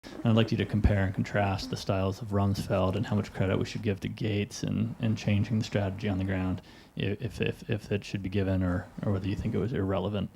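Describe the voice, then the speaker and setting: A man in the audience asks a long question in a low, mellow voice that barely rises or falls. He stumbles and restarts as he goes, over a faint background hum. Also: little pitch movement, vocal fry. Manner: halting, many fillers and restarts. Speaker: investor asking a question.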